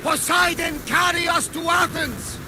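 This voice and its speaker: An actor playing a hearty, pious old ship captain in a video game. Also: male voice, extremely high pitch, steady tone, a little slow, noisy background. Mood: rousing. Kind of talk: video game cutscene acting.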